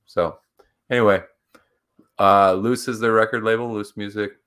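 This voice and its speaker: A man's voice: fairly low pitch, fairly even intonation, moderate pace, a bit bright, clean tone. A man co-hosting a livestream talk show, chatting casually and rambling with lots of fillers. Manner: rambling, filler-heavy, upbeat. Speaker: co-host.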